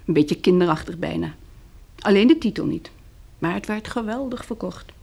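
An actress in a radio drama playing a poised, frank young woman survivor, worldly and self-mocking, in soft, intimate dialogue with a husky, breathy voice. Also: on the low side, lively intonation, moderate pace, heavily acted.

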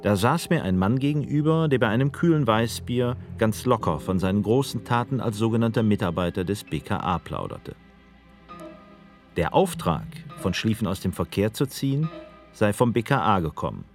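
Low-ish guy narrating a documentary, calm and measured, asks questions. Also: soft background music. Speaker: narrator and interviewer.

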